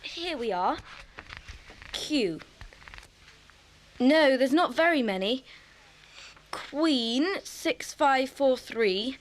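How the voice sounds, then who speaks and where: A woman playing an excitable, eager child detective in a children's audio drama. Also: high-pitched, drawn-out words, long pauses, heavily acted, poor audio. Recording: hissy old cassette recording.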